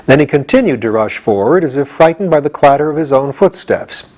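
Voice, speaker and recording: A man's voice: mid-range voice, animated, fairly quick, darkish tone. A male audiobook reader with a mid-range voice. He delivers scripted narration of a children's storybook.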